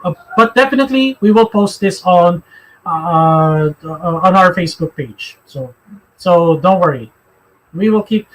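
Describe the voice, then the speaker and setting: A man with a Filipino accent and a high-pitched voice leads a live religious discussion. He talks haltingly, circling back over his phrases as he explains and takes questions. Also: animated, fairly bright tone, rough recording. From Philippines. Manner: halting, repeats phrases as he goes.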